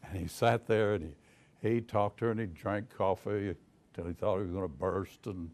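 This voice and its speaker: An older man with a deep voice and a Southern US accent, a private investigator telling folksy stories to an audience, chuckling as he goes. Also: fairly even intonation, moderate pace, darkish tone. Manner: folksy storyteller, chuckles often, many restarts. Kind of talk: casual talk to an audience.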